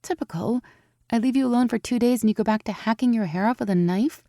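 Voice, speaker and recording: A woman voicing an emotional, excited young winged heroine in a storybook. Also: a little high, fast, no room sound, clean studio sound, pitched above the performer's own voice, close to an everyday voice. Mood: tender.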